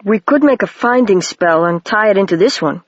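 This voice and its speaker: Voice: mid-range voice, animated, normal speed, no echo. Earnest young wizard boy, as a reader voices him. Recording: dry, dull lo-fi home recording.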